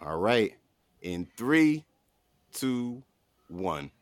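A man's voice: on the low side, big pitch swings, very slow, dry recording, studio quality. A man hosting a podcast in casual comic debate, arguing emphatically with mock disbelief. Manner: argumentative, mock-incredulous, emphatic. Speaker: podcast host.

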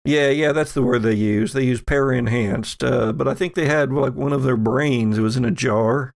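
A man's voice: medium pitch, creaky, close to an everyday voice. A man voicing a polite, folksy doppelganger in a tabletop game, rambling and hesitant as he goes. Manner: halting, rambling, folksy, apologetic. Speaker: game master.

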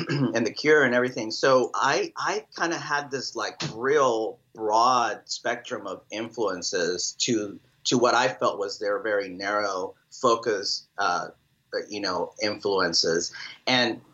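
Rambling middle-aged man, low voice. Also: slow pace, bright, forceful tone, muffled recording. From El Salvador. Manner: rambling, many fillers and restarts. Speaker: drummer, interview guest. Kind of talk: casual interview answers.

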